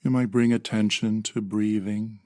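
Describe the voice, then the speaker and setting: A man calmly leading a guided meditation in measured phrases with long pauses. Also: on the low side, no echo, clean studio sound.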